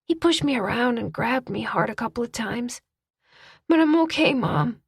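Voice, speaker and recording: A woman voicing a frightened little boy who is trying to hold back tears, pitched higher than her own voice. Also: animated, normal speed, gravelly, breathy edge, no echo, clean studio sound, heavily acted. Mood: shaky. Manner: small and shaky, holding back tears.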